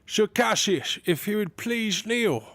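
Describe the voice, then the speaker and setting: Brief: halting formal royal advisor, high voice, unhurried. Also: male voice, lively intonation, fairly bright tone, no room sound, exaggerated voice. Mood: ceremonial. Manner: halting, formal, clears throat. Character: fussy older male royal advisor, formal. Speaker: royal counselor (maester). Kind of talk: audio drama acting.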